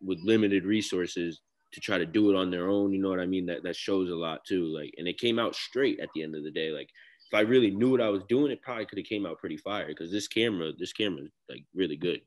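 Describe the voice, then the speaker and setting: A young man from Connecticut with a deep baritone voice, talking casually as a guest rapper in an interview, with loose, slangy speech full of "like" fillers. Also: fast. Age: young adult.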